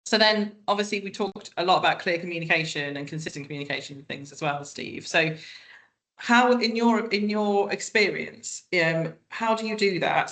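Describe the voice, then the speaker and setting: A British man with a fairly high voice and a bright, forward tone. He moderates a webinar panel in a conversational way, circling around his point as he works toward a question.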